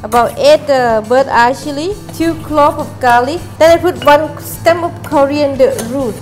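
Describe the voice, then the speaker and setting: Older lady chef, highish voice, halting simple talk, music and clinking pans behind. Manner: halting, simple phrasing with fillers. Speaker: chef, mother of the co-host. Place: restaurant kitchen studio, indoors. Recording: studio cooking show with music under the voices. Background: music, clinking pans and cutlery.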